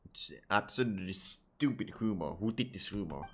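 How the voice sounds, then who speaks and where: A man's voice: lowish voice, animated, moderate pace, fairly bright tone. A man streaming a video game with casual, irreverent, sweary commentary, reacting to what happens. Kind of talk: casual live gameplay commentary.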